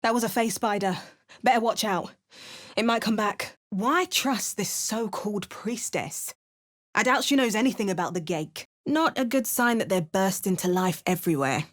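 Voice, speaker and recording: A young woman voicing a game character with wry, measured first-person narration. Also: medium pitch, animated.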